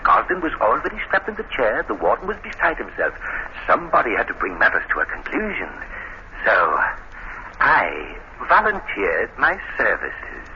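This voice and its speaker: An actor playing an older, embittered prison guide and would-be executioner, ominously polite and drawn-out, in 1930s radio style. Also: male voice, medium pitch, wildly swooping pitch, pushed and bright, heavily acted. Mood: eerie reminiscing. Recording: scratchy, muffled 1930s radio recording.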